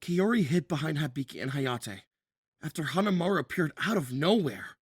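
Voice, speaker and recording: A man's voice: a little high, lively intonation, moderate pace, slightly rough, no room sound, studio quality. A man narrating an audio drama as a scripted storyteller.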